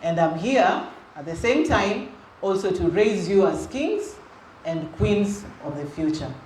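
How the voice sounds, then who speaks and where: A woman's voice: medium pitch, animated, a little slow, darkish tone. Kenyan woman teaching youth in an echoey hall. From Western Kenya. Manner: engaging, quizzes the audience, mixes in Swahili. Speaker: youth minister. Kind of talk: interactive teaching to a youth group. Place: church hall. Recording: echoey hall with faint noise.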